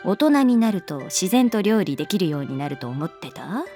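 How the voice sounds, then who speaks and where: A woman's voice: fairly low pitch, sing-song, normal speed, dark tone, soft background music. A woman playing a laid-back adult schoolteacher in a Japanese voice drama, talking in a casual, nonchalant, teasing way. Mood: wry, teasing. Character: wry, laid-back adult female schoolteacher, quietly indulgent. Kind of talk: Japanese voice drama acting, natural dialogue.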